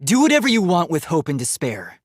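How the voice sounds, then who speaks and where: K1-B0, earnest husky-voiced young robot. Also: male voice, on the high side, wildly swooping pitch, fairly quick, no echo, studio quality. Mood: solemn, resolved. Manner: earnest, formal, emphatic delivery. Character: earnest, determined young male robot, idealistic and emotional. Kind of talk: dramatic video game voice acting.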